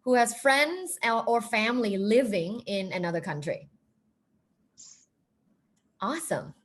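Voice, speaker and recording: A woman's voice: on the high side, lively intonation, a little slow. A woman from Thailand facilitating a group video chat as host, affirming and encouraging people as she goes. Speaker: host of an online group activity. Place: online video meeting.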